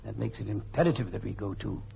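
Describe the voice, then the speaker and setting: An actor playing a fat, cunning older gentleman villain with florid, formal speech, in the style of 1940s radio drama. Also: fairly low pitch, fast talker, gravelly. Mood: courtly, resolute. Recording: muffled, faintly noisy old radio recording.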